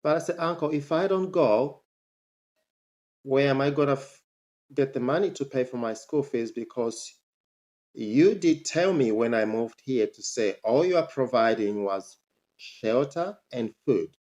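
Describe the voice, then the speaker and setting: Slow, deliberate man. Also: animated, a little mellow, highs cut off. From Zambia. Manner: emphatic, pitch jumps up on rhetorical questions. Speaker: motivational speaker. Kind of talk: personal storytelling to camera.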